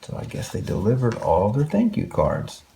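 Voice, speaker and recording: A man's voice: mid-range voice, huge pitch swings, normal speed, creaky, mellow, a little hiss or hum. A man reading a children's story aloud expressively, with exclamations and wondering asides to young listeners.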